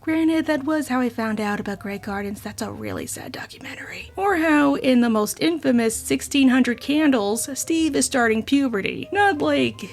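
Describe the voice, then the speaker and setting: A young woman with a sore, hoarse throat, narrating a casual video essay with sardonic, chatty asides. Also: sits high, lively intonation, moderate pace, few pauses.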